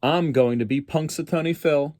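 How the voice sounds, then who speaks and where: A man's voice: fairly high pitch, moderate pace, a little mellow, no room sound, clean studio sound, voice pushed up, natural voice. A man voicing an eager, stubborn young female groundhog in a storybook, with an earnest, insistent way of talking. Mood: dreamy, determined.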